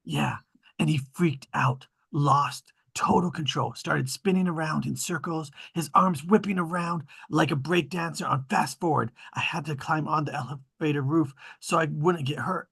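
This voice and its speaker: A man reading the part of an earnest, excitable young adventurer boy at a film-script table read, with a gravelly, husky voice. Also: on the high side, normal speed, heavily acted. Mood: earnest explaining.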